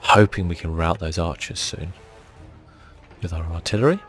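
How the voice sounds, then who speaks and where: A man giving soft-spoken ASMR commentary as he plays a game, thinking aloud in a relaxed way. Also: low voice, normal speed, faint music behind. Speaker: gaming video host.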